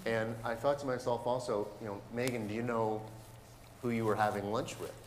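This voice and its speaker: An older man moderating a panel, telling an anecdote and posing questions informally, often pausing mid-phrase. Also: mid-range voice, steady tone. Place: stage of a lecture hall, indoors. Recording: echoey hall with background noise.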